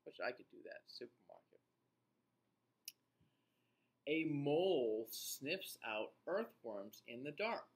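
A man in his forties reading a picture book aloud to young children in an expressive, teacher-like way, with playful asides. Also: medium pitch, takes it slowly, pauses often. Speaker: children's read-aloud host. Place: small indoor room.

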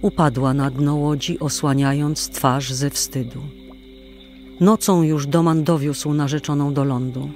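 A man narrating an old tale as a scripted audio drama, in a solemn, measured, literary manner. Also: fairly high pitch, steady tone, darkish tone. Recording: music playing behind the voice. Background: music.

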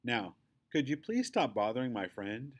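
A man acts out a chatty, indignant little girl from a children's book. The delivery is excitable and emphatic, with lifted, very animated pitch. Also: moderate pace, slightly rough. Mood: defiant.

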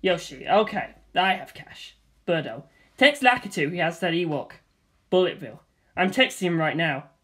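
A man reading a comedic screenplay aloud in a flat, deadpan manner. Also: fairly high pitch, moderate pace. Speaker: reader of a script.

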